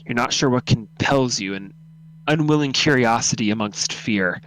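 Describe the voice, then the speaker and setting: A man's voice: mid-range voice, normal speed, a bit bright, a little hiss or hum, rough recording. A man narrating a second-person horror story as a live radio drama read-through.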